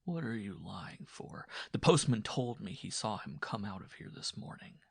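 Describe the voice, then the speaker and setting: Man playing a low, muttering, suspicious peasant husband. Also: sing-song, vocal fry, fairly bright tone, slightly rough, no room sound, studio quality, heavily acted. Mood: cold, accusing. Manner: muttering, curt, slow. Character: sullen, suspicious peasant husband, brooding and terse. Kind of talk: dramatized audiobook dialogue.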